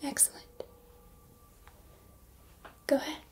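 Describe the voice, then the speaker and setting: A woman voicing a gentle, encouraging combat training robot in a soft, breathy, measured ASMR roleplay whisper. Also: natural voice. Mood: calm, instructing.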